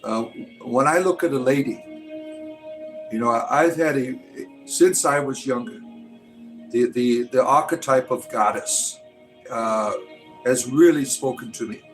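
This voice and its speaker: A man's voice: medium pitch, measured pace, pauses often, soft background music, low-quality recording. A man in his late forties hosting a livestream, rambling loosely and reading out viewer comments, often ending with "right?". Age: 40s. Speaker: livestream host.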